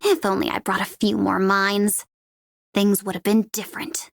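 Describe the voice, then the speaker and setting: A woman's voice: mid-range voice, little pitch movement, moderate pace, creaky, no echo, studio quality. A woman playing a cocky young mercenary in a video game, with a mocking, playful and sardonic manner. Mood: dry. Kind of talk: video game character voice lines.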